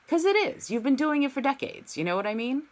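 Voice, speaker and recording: A woman's voice: high-pitched, very animated, a little fast. A woman teaching an online singing lesson, coaching students and demonstrating sung scales on "ma". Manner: encouraging, demonstrates sung vowels.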